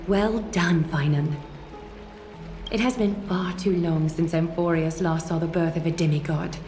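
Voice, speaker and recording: A woman's voice: fairly low pitch, steady tone, unhurried, darkish tone, lightly acted. An actress playing a regal, composed leader, stately and formal yet motherly, in a video game drama. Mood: pleased, stately. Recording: echoey, over background music.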